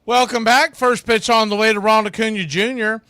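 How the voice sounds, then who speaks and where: An American man calling baseball play-by-play, mixing pitch calls with casual chat. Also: steady tone, fairly quick, dry recording, clean studio sound. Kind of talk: live sports commentary with casual chat. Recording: loud background noise.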